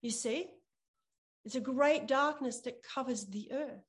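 A woman's voice: high-pitched, measured pace. A woman pastor giving a sermon and prayer, speaking earnestly and repeating phrases for emphasis. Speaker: pastor preaching to a church.

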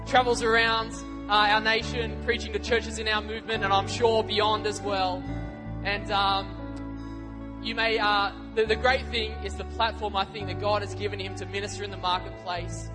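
A man introducing a guest speaker from the stage in a hesitant, halting way. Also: high-pitched, level tone, over music.

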